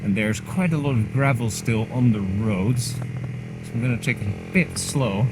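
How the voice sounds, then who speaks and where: A man talks in a loose, chatty way while riding a motorcycle along a country road, teasing himself now and then. His voice sits in the middle range for a man. A helmet mic picks him up with steady engine and wind noise underneath. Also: mid-range voice, unhurried. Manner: chatty, rambling, self-mocking. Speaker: motorcycle vlogger. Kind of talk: casual running commentary while riding.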